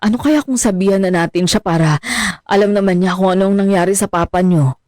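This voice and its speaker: A woman's voice: mid-range voice, fairly quick, a little mellow, no echo, clean studio sound, lightly acted. A woman acting a troubled, anxious middle-aged mother in a radio drama.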